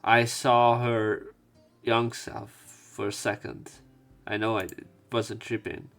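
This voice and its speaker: A man narrating a game let's play, reading dialogue aloud with casual, halting commentary. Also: lowish voice, flat delivery, creaky, a bit bright, soft background music.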